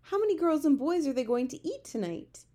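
A woman's voice: on the high side, lively intonation, normal speed, warm tone. A woman voicing a spirited young girl from a children's storybook, indignant and determined, speaking emphatically. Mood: anxious. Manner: emphatic, insistent. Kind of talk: children's storybook character reading.

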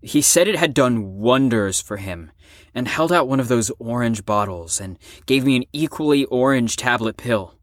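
A young man acting a troubled, self-loathing teenage ex-addict in an emotional audio drama monologue, halting and profane. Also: mid-range voice, expressive, dry recording, studio quality, lightly acted. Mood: reflective, halting. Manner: emotional, halting, profane, swings between bitter and impassioned. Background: music under the voice.

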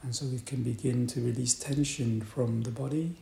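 A man leading a guided meditation as a teacher, speaking in a calm, deliberate manner with long pauses. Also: medium pitch, creaky. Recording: roomy echo, faint background noise.

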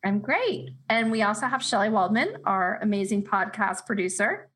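A woman's voice: mid-range voice, lively intonation, moderate pace, a bit bright. Upbeat woman podcast host. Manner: upbeat and encouraging. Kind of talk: interview hosting.

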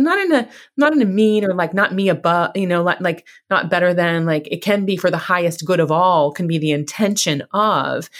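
Woman podcast host, lower pitch; encouraging, chatty delivery. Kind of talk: conversational interview.

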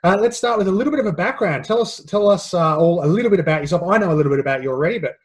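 A man's voice: high voice, lively intonation, rapid-fire. Upbeat male podcast host. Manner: upbeat, filler-heavy, loose phrasing. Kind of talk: casual podcast hosting.

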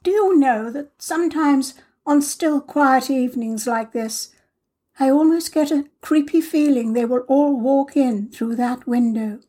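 A woman's voice: high-pitched, unhurried, mellow, voice pushed up. A woman voicing a self-possessed teenage girl who tells a tragic tale in a confiding, faltering, dramatic way for a storybook reading. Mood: wistful, faltering. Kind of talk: storybook character voice in audiobook reading.

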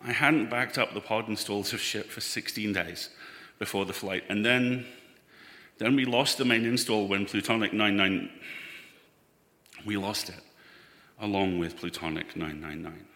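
A man playing an anxious, earnest spaceman in a live audio drama, halting and pleading as he speaks. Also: on the low side, steady tone, sharp tone. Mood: grieving, pleading. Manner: halting, repeats words, pleading. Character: anxious, earnest spaceman devoted to his ship's AI. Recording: echoey hall, live stage recording.

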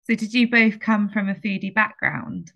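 A woman's voice: mid-range voice, normal speed, creaky, sharp tone. A woman hosting a podcast, asking questions in a bubbly, enthusiastic way. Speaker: podcast host. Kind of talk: podcast interview.

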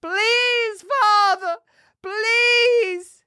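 A terrified young girl played by a woman storyteller pleads desperately for belief. Her voice is pitched very high and sounds close and dry, as in a dark dramatic folk tale. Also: very slow, no room sound, full character voice. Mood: anguished crying. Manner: desperate, begging.

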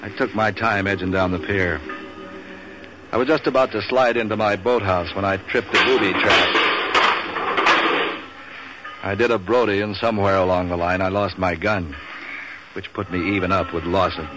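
An American actor playing a street-wise, wisecracking waterfront man for hire in 1940s radio noir, with a clipped, sardonic, deadpan delivery. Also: sits low, moderate pace, pauses often, over loud music, lightly acted. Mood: tense, deadpan. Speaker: lead character and narrator. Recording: dull, hissy 1940s radio recording.

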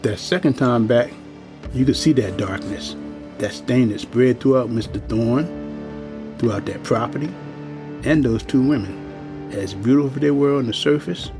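A man's voice: medium pitch, lightly acted. An actor playing a world-weary old working-class man in an audio drama, speaking in a folksy, plain-spoken, ominous way.

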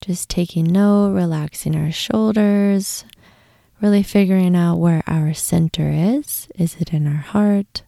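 A woman leading a guided meditation in a gentle, unhurried manner, with breathy, hushed moments. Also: lowish voice, dry recording, clean studio sound. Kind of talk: guided meditation, soothing instruction.

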